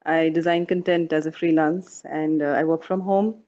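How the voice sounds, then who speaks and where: A woman from Dubai speaking as a guest on a mothers' panel, halting, with many fillers and restarts. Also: sits low, steady tone, warm tone, dull audio. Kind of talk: casual panel talk.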